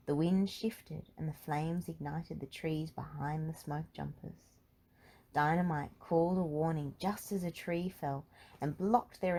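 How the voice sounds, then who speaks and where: A woman's voice: low voice, warm tone, rough recording. A woman reading a children's storybook aloud as narrator. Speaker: storybook reader.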